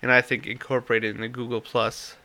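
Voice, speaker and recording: A man's voice: medium pitch, level tone, fairly quick, bright, forceful tone. A young man with a stuffy nose, co-hosting a casual tech chat and rambling as he loses his train of thought. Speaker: podcast co-host.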